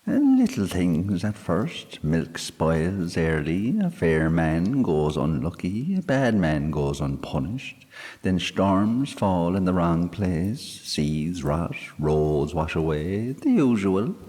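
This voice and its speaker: A man voicing a tiny, bearded, easily offended male fairy, huffy and sharp-tongued. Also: low-pitched, big pitch swings, slow, full character voice. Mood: lecturing. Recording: long hall reverb.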